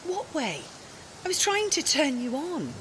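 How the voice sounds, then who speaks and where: High, animated, demanding girlfriend played by a woman. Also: unhurried, noisy background, exaggerated voice. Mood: annoyed. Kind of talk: audio drama dialogue.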